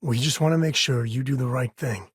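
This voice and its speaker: A man's voice: medium pitch, a little fast, husky, no echo, studio quality, natural voice. An actor playing an easygoing, wry, middle-aged spacefaring adventurer and father in a video game, talking in a folksy, colloquial way. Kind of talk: video game character dialogue.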